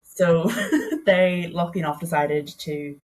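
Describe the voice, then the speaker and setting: A woman's voice: lowish voice, takes it slowly, a little mellow, a little hiss or hum. A young woman with a Donegal Irish accent, a podcast guest telling her story in a chatty way, often saying "like". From Ireland. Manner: chatty, peppers speech with "like".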